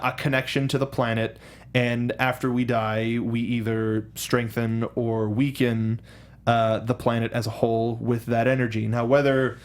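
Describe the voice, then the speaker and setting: A man co-hosting a casual film discussion, speaking in a halting, rambling way and often circling back to rephrase with enthusiasm. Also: medium pitch. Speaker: co-host of a film discussion show.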